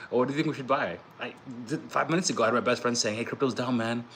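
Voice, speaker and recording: A man's voice: mid-range voice, fairly even intonation, fairly quick, a bit bright, a little hiss or hum. A young man of Dominican heritage talking in a casual vlog monologue, rambling with lots of fillers and restarts. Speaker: crypto vlogger.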